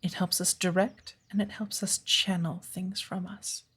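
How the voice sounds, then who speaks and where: A woman playing a patient, playful witch of the woods who gently teaches an apprentice in a soft ASMR roleplay, with a breathy voice. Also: fairly low pitch, level tone, heavily acted. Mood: earnest, instructive. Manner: slow, measured, gently instructive.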